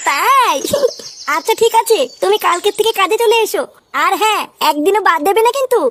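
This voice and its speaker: A woman plays a coy young village shopkeeper in a cartoon. Her voice is extremely high and brisk, familiar and coaxing, on a slightly noisy track. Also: lively intonation. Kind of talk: comic Bengali cartoon dubbing.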